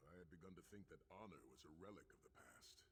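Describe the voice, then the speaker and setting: An actor playing a noble, weary warrior freed from captivity in a video game, speaking in a grave, formal, measured way. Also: male voice, deep voice, lively intonation. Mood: solemn, grateful. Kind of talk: scripted video game dialogue.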